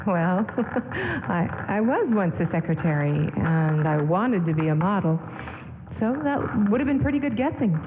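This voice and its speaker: A woman's voice: medium pitch, very animated, measured pace. A woman playing a shy, polite young traveler with a hesitant, flustered manner in a 1940s-style radio drama. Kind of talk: 1940s-style radio drama acting before a live audience. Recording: echoey hall, narrow AM radio sound, noise.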